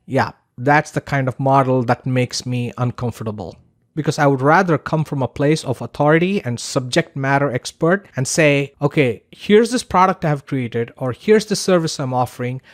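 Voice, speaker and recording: A man's voice: mid-range voice, moderate pace, no room sound, clean studio sound. A man delivering scripted instructional narration as a podcaster and teacher, in an emphatic, persuasive manner.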